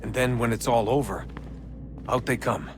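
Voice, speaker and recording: A man's voice: medium pitch, normal speed, noisy background, natural voice. An actor playing a young man in a video game, talking casually and bluntly with a frustrated edge. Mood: uneasy. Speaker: game protagonist. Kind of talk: video game cutscene dialogue. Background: music.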